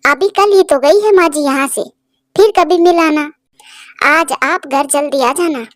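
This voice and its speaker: A woman voicing a bossy, quick-tempered young bird wife in a cartoon, with a nagging, insistent manner. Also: high voice, no room sound, clean studio sound.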